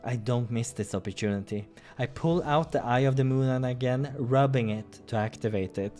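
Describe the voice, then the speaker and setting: A man's voice: medium pitch, normal speed, darkish tone. A man hosting a playthrough, reading a visual novel aloud with casual asides and the odd stumble, over music.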